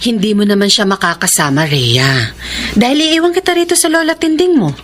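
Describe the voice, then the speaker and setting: A filipino radio drama mom, widowed n in love, defensive, very lively melody, bit of noise behind. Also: female voice, mid-range voice, brisk. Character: lovestruck, defensive widowed mother.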